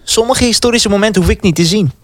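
A man's voice: high-pitched, fast, a bit bright. An actor playing a young man in a wartime radio drama, a sceptical and dutiful brother who is dry and reluctant.

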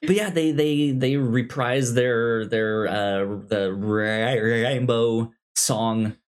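A man co-hosting a podcast, chatting casually in a halting way, stammering and repeating words as he goes. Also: mid-range voice, fairly even intonation, smooth. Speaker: podcast co-host discussing a film. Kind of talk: casual chat, film discussion. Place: recorded in person with co-host.

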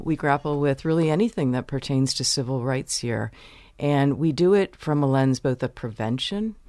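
Woman guest, from the US, measured and explanatory, close dry mic. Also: extremely low pitch, a little mellow, no echo. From United States. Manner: measured, explanatory, pauses to choose words. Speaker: university vice president, interview guest. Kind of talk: interview answers.

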